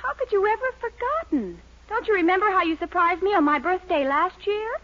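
A woman's voice: very high voice, sing-song, fairly quick, fairly bright tone, lo-fi sound, slight background noise, exaggerated voice. An actress playing a young woman who coaxes and hints at her boyfriend, with theatrical sighs, in 1940s radio sitcom acting. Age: young adult. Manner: coaxing, hinting, dramatic sighs. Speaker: girlfriend in a sitcom.

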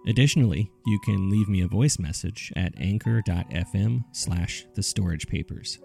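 A man hosting a story podcast, reading scripted mystery case files in a measured, serious storytelling manner. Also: deep voice, gravelly, dark tone. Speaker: podcast host and narrator.

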